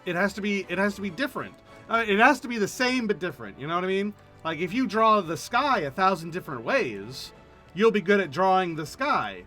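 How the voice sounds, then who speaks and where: Streamer guy chatting casually, high bright voice, really emphatic, repeats stuff for stress. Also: big pitch swings, a little fast, faint music behind. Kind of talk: casual livestream chat.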